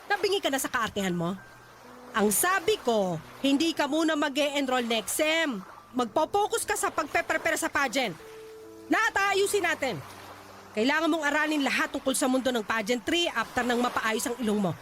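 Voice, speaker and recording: A domineering Filipino mother in a radio drama. Her high voice swings widely as she scolds and gives orders. Faint noise sits behind her. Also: animated, moderate pace. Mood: scolding. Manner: scolding, commanding, sharp-tongued. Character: domineering pageant-obsessed Filipino mother, impatient and pushy.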